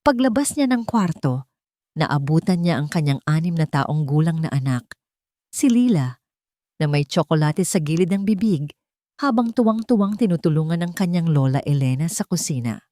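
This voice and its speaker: A woman with a Filipino accent narrating a scripted love story in a measured, sentimental way. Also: deep voice, lively intonation, warm tone, dry recording, studio quality. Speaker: narrator of audio love stories.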